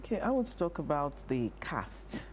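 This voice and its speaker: A woman's voice: low-pitched, sing-song, normal speed. A woman with a Nigerian accent, hosting a conversational interview and putting questions to a guest. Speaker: talk show host. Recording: background noise.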